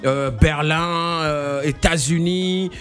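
High-pitched man, music faintly behind. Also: takes it slowly, a bit bright. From Brazzaville, Congo. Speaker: radio show guest. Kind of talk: conversational radio interview.

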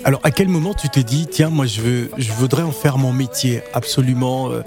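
A male host interviewing a singer in a chatty way, prompting him with quick questions. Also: medium pitch, music in the background. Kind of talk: casual interview.

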